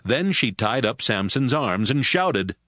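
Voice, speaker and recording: A man narrating scripture in a steady, even storytelling manner. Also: medium pitch, unhurried, dry recording, studio quality.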